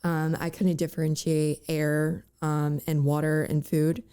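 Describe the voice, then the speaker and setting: Raspy young woman with voice cracks. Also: sits low, level tone, dark tone. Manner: halting, many fillers and "like"s. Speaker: guest. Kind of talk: candid personal interview.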